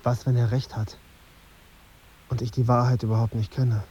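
An actor in a German radio drama playing an embittered young journalist, brooding and suspicious, with an intense, insistent manner. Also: male voice, mid-range voice, little pitch movement, normal speed, dark tone, dull audio, faint music behind, lightly acted. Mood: troubled doubt. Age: young adult. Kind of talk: radio drama acting with first-person narration.

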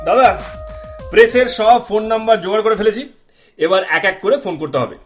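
A man acts out an eager junior helper in a Bengali audio drama. He has a high voice and talks very quickly, always deferential and keen to organise things for his elder. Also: faint music behind, lightly acted. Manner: deferential, hurried. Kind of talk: stage-style radio play acting.

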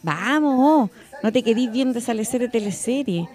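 An actress plays a working-class Chilean mother in a radio drama, newly political and determined. Her voice is high and swings in lively fashion. She talks colloquially, earnest and insistent. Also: a little fast, natural voice. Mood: urging, earnest.

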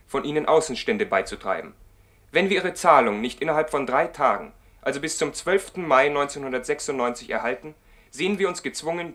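An actor as a cool, officious male debt collector in a radio play. His voice sits at a middle pitch as he delivers a formal, threatening letter. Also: fairly bright tone, lightly acted. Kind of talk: radio drama reading of a business letter.

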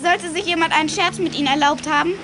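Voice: very high-pitched, steady tone, fast talker, music in the background. A young performer playing a plucky, eager schoolboy sleuth in a children's audio drama. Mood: cheeky. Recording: loud background noise.

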